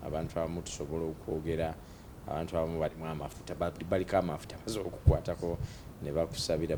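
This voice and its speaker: A very deep-voiced Ugandan man hosts an interview show, his pitch swinging widely as he stretches out key words and laughs along. A faint low rumble sits behind him. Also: a bit bright. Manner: emphatic, drawn-out delivery with frequent laughter. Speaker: talk show host. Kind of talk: interview chat mixing English and Luganda.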